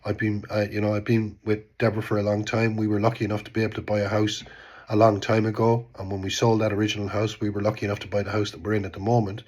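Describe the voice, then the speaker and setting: A man's voice: on the low side, monotone, fast, darkish tone. A man in his fifties with an Irish accent, stuffed up with a cold, giving rambling casual political commentary and often trailing off with "type thing". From Ireland. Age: 50s. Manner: rambling, repeats words, says "type thing". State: congested from a cold. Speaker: vlogger. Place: home in Bristol.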